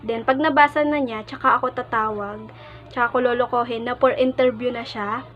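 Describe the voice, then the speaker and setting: A woman from the Philippines with a Filipino accent, chatting casually and in an animated, informal way as a vlogger. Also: a little high, brisk, lo-fi sound. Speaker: vlogger, prank caller.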